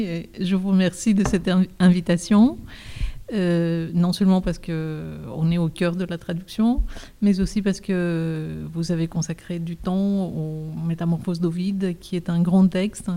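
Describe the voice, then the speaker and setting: A woman from Greece, a literature professor and translator, answering interview questions in a measured, thoughtful way. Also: on the low side, mellow.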